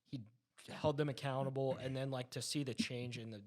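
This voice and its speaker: A man's voice: medium pitch, fairly even intonation, a little fast. A man talking casually among a group, with loose, hesitant phrasing. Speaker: discussion panelist.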